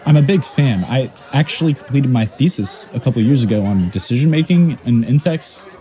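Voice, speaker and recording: A man's voice: mid-range voice, lively intonation, fairly quick, dark tone, lightly acted. A man acting in an audio drama as an eager, deferential young scientist and fan, speaking in a hesitant, admiring manner. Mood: admiring, nervous. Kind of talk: naturalistic audio drama dialogue.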